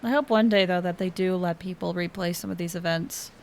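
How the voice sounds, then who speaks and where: A woman's voice: on the low side, fairly quick, slight background noise. A woman game streamer giving casual, chatty live commentary with trailing-off asides. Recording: soft game music behind.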